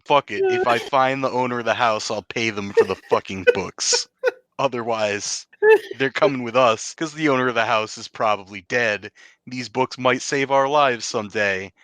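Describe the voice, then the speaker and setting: A man's voice: mid-range voice, sharp tone, no echo. A man playing a tabletop game, talking casually in a sardonic, exasperated way with plenty of swearing.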